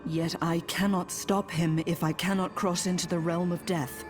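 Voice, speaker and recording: Actress as female necromancer, lowish voice, determined, formal, restrained, fantasy game, music behind. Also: little pitch movement, normal speed, lightly acted. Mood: urgent, earnest. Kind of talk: fantasy video game acting.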